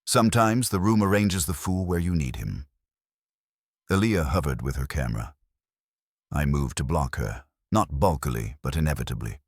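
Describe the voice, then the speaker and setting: Male narrator, very low register, lively intonation, dry close sound. Wry detective fiction read. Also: moderate pace, no echo. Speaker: audiobook narrator. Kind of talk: scripted first-person detective story narration.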